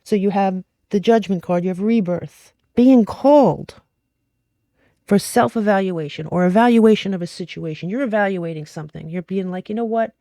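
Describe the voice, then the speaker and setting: Female tarot host, mellow tone, close dry sound, informal and blunt delivery with frequent check-ins. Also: mid-range voice, normal speed, no echo, low-quality recording. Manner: direct, informal, mildly salty, frequent check-in questions. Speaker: tarot reader and astrology host. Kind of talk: casual conversational tarot reading.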